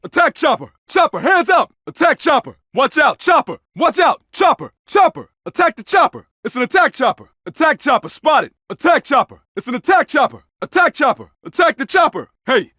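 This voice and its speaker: A man's voice: very high-pitched, sing-song, moderate pace, few pauses, pushed and bright, no room sound, studio quality, heavily acted. A man voicing an urgent, alert undercover cop in a video game, giving short, clipped, commanding tactical callouts. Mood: urgent, alarmed. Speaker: video game player-character voice.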